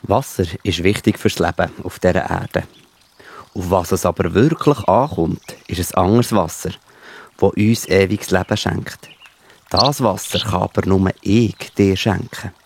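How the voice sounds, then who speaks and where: A man playing a calm, kindly teacher in a children's Bible audio drama, explaining. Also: low-pitched, unhurried, gravelly, a little hiss or hum. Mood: calm, assured.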